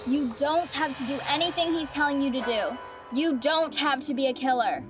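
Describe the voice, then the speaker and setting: A woman playing a calm, wry, seasoned mentor, assured and blunt, in a dramatic staged reading. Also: sits high, moderate pace, over loud music, lightly acted. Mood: coaxing.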